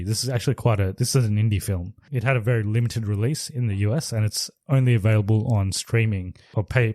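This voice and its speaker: A man's voice: on the low side, warm tone, dry recording. A man co-hosting a podcast, casually retelling a movie plot in a loose, rambling way with restarts. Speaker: podcast co-host. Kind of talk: casual chat reviewing movies.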